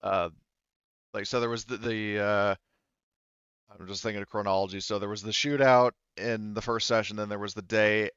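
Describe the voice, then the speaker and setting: A man casually running a tabletop roleplaying game as game master, halting and thinking aloud as he goes. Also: on the low side, steady tone, a bit bright, clear, resonant tone, no echo, lo-fi sound.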